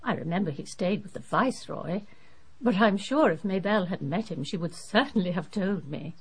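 Genteel, husky, chatty English lady. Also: on the low side, animated, moderate pace, low-quality recording. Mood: relieved, chatty. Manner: genteel, talkative, earnest. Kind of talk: radio drama dialogue.

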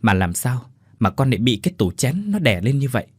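A man voicing a frightened middle-aged mother who cries and pleads in a shrill voice for her son. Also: sing-song, brisk, a little mellow, breathy edge, no echo, studio quality. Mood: worried.

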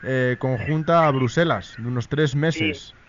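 Halting male radio co-host, muffled sound. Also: mid-range voice. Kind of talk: unscripted live interview. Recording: muffled lo-fi radio with faint noise.

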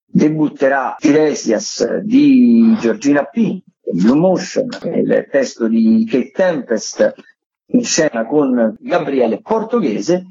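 A man with a mellow, husky voice works through a radio round-up as a theatre correspondent. He is discursive, pausing to search for words. The sound is dull and lo-fi. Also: mid-range voice, lively intonation. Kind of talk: radio events round-up, semi-improvised.

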